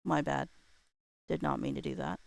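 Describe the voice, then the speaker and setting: A woman chats casually as a livestream host, rambling and playful as she mutters to herself. Her pitch moves around a great deal, often dropping into vocal fry. The sound is close and dry. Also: wildly swooping pitch, moderate pace, no echo. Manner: rambling, talks to self while working, playful.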